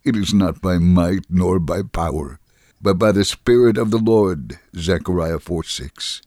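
A man reading a story in a dramatic, expressive way, acting out the quoted dialogue. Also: low voice, measured pace, no echo, clean studio sound. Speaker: reader of a dramatized true story.

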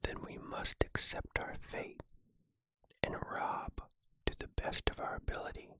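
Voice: measured pace, sharp tone, no echo. Victor, a cheerful bandit, whispered by a narrator. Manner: jaunty, grandly formal speech. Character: cheerful, eager male bandit.

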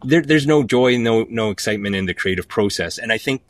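A man's voice: lowish voice, expressive, a little fast, dry recording. A man talking as an interview guest, telling anecdotes conversationally with enthusiasm and repeating words for emphasis. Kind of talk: animated conversational storytelling.

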